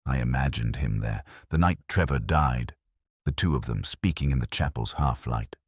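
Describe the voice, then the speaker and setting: A man reading scripted first-person detective fiction in a measured, literary, brooding manner. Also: very deep voice, breathy edge, dry recording, clean studio sound. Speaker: narrator.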